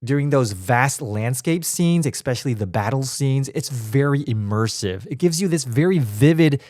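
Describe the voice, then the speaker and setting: A man hosting a casual solo podcast, talking in a chatty, animated way, his voice raspy from a cold. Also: medium pitch, moderate pace, no echo, clean studio sound. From Taiwan. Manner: chatty, animated, emphatic asides. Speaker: podcast host.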